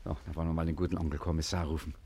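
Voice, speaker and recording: An actor playing a cool, commanding gangster boss in a 1950s German radio crime satire. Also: male voice, a bass voice, level tone, rapid-fire, a little mellow, slightly rough, full character voice. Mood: calm, commanding. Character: cool, cocky gentleman gangster boss, ordering his crew around.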